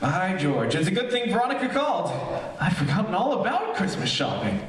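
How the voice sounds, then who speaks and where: A man's voice: high-pitched, wildly swooping pitch, a little fast, a little hiss or hum. A man playing a flustered, henpecked middle-aged father in an old-time radio comedy. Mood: exasperated. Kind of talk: 1940s radio comedy acting. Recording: echoey, distant stage recording.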